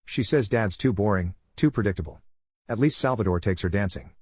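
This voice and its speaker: Scornful, casual teen daughter for narrated drama, read by a male narrator. Brisk, dry. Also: fairly low pitch, expressive, slightly rough, dry recording. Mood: gossipy, scornful. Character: gossipy, scornful teenage daughter on the phone.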